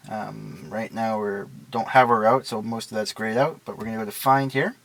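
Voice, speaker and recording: A man's voice: on the low side, fairly even intonation, moderate pace, vocal fry, a bit bright, dry recording, poor audio. A man giving a casual, unscripted product walkthrough in a matter-of-fact, explanatory way. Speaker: product reviewer and demonstrator.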